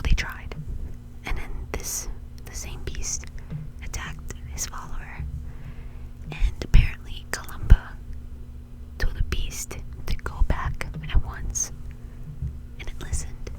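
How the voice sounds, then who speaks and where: Whispering woman, casual ASMR storyteller. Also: rough recording. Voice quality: soft breathy whisper. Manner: casual, chatty. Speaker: ASMR video host.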